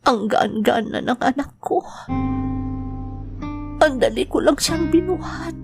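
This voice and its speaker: A woman playing a grieving mother working abroad, speaking with slow, heartfelt dramatic delivery. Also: high-pitched, animated, creaky, full character voice. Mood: tender. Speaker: overseas domestic worker. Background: faint guitar music.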